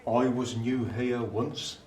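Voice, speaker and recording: A man playing a dry, deadpan Victorian law clerk, speaking deliberately in a radio play. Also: medium pitch, far from the mic, slight background noise, close to an everyday voice. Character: dry, matter-of-fact male London law clerk. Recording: echoey room, off the mic.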